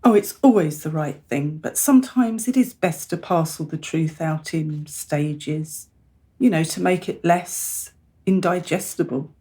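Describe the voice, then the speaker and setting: Woman playing a low-voiced, caring mother. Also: a little slow, dark tone. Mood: explaining. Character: caring, practical mother who reasons gently. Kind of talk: radio play acting.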